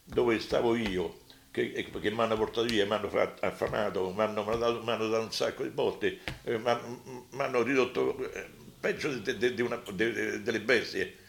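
Creaky, deep-voiced elderly man, halting. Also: echoey room, slight background noise. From Rome, Italy. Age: elderly, over 80. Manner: halting, repetitive, emphatic. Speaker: deportation survivor giving testimony. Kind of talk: spontaneous oral testimony.

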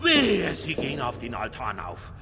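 An actor playing a flustered, outraged Bavarian village priest in a radio crime drama, scandalised and threatening. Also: male voice, mid-range voice, wildly swooping pitch, moderate pace, pushed and bright. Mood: outraged, alarmed. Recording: reverberant church hall, music behind.

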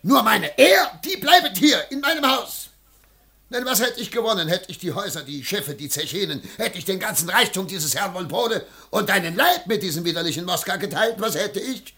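High, creaky jealous merchant. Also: male voice, lively intonation, normal speed, pushed and bright, full character voice. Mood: brooding. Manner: theatrical, exclamatory, sly. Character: greedy, jealous, hot-tempered merchant husband. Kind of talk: German radio play acting.

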